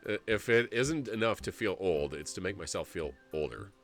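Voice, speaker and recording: A man in his forties streams a story game, reading the narration aloud and rambling between lines. He jokes and laughs a lot, and his pitch swings widely. Faint game music sits behind him. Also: moderate pace. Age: 40s. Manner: animated and jokey, laughs often, rambles.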